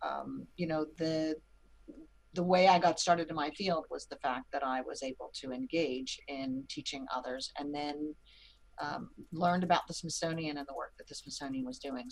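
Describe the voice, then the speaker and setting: A woman from Pittsburgh, a museum science educator, speaking candidly at a panel with hesitant pauses. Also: deep voice, creaky, low-quality recording. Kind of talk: panel remarks.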